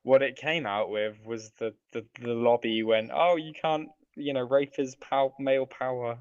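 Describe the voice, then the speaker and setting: A man's voice: medium pitch, steady tone, normal speed, clean tone, dry recording, lo-fi sound. A British man co-hosting a podcast, chatting casually about video games with wry, slightly hesitant phrasing. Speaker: podcast co-host.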